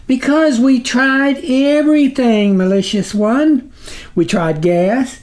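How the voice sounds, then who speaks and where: Man putting on a shrill, fawning demon minion. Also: slow, a little mellow. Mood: anxious, fawning. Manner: obsequious, pleading.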